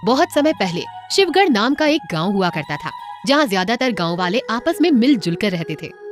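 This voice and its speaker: A woman's voice: mid-range voice, very animated, normal speed, soft background music. A woman narrating a scripted cartoon moral story as a storyteller.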